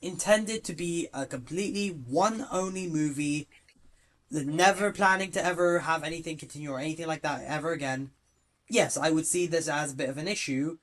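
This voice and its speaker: Excitable young male podcast host. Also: fairly high pitch, normal speed. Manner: excitable, swears, stumbles over words. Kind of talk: casual chat.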